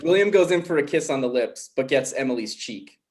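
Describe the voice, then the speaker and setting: A man hosting a table read and narrating stage directions in an informal, somewhat halting way. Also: mid-range voice, lively intonation, darkish tone.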